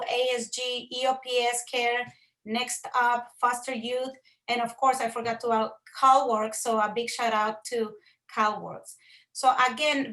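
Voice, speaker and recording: A woman's voice: on the high side, a bit bright, poor audio. Woman with a Costa Rican accent. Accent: Costa Rican Spanish. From Costa Rica. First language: Spanish. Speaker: assistant professor presenting. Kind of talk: presentation to a faculty audience.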